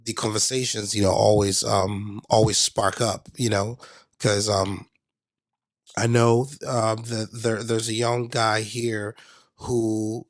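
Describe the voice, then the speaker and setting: A man's voice: lowish voice, little pitch movement, takes it slowly, no echo. Ghanaian man chatting, close dry sound. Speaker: podcast guest, DJ. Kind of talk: casual interview chat.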